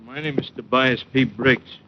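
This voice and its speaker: An actor playing a huge, earnest, simple wrestler with plain working-class speech in an old radio drama. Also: male voice, medium pitch, normal speed, lightly acted. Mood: earnest, hesitant. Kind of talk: 1940s radio drama acting. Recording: dull old radio recording.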